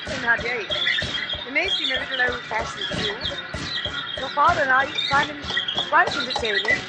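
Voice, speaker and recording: Actress as high-voiced anxious mother, music behind. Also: fairly quick, close to an everyday voice. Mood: indignant. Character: anxious, proper wife and mother. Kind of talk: 1950s radio drama acting. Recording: 1950s radio recording with music behind.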